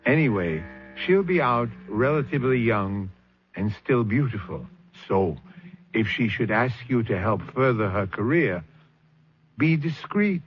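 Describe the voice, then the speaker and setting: A man closing a radio drama with a measured, wry reflection and reading the credits. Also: mid-range voice, animated. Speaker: host. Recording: muffled old radio with faint music.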